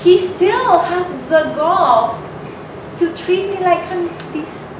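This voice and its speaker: A woman from Mexico, a guest speaker, telling a personal story to an audience in a candid, animated way. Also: extremely high pitch, slow pace. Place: event hall with audience. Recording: echoey hall, mic from far off, noisy.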